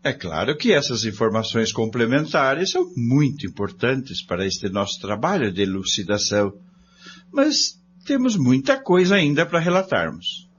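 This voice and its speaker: An actor playing a jovial member of a research group in a radio drama, with a Brazilian accent and wordy, theatrical phrasing. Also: male voice, mid-range voice, sing-song, normal speed, lightly acted. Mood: jovial, persuasive. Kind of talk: scripted radio drama acting. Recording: dull lo-fi audio with faint noise.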